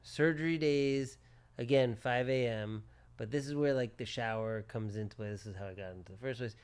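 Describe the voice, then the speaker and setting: Guy in his 40s on a podcast, smooth clear voice, chatty and animated. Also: mid-range voice, normal speed. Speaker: plastic surgeon, podcast co-host. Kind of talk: casual podcast chat.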